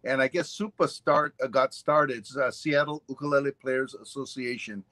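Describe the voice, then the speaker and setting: A man's voice: medium pitch, steady tone, normal speed, pushed and bright, no echo. Older man from Hawaii, rambling storyteller. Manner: rambling storyteller, many fillers and asides. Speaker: ukulele teacher, guest. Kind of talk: casual interview chat. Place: home room on a video call. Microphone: webcam on a video call.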